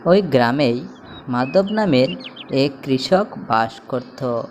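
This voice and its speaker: A woman's voice: extremely low pitch, lively intonation, slow pace, a little mellow. A woman narrating a scripted children's story as a storyteller, over a noisy background. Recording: noticeable background noise, ambience.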